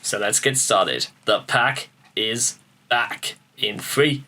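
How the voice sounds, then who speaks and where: A man from northeast England hosting a reaction video, rambling and joking at the camera, swearing freely and stumbling over restarts as he speaks to "ladies and gentlemen". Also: on the low side, takes it slowly. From Newcastle upon Tyne, northeast England. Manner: joking, swearing, self-mocking, often stammers on restarts; addresses viewers as ladies and gentlemen. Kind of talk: rambling casual chat to camera.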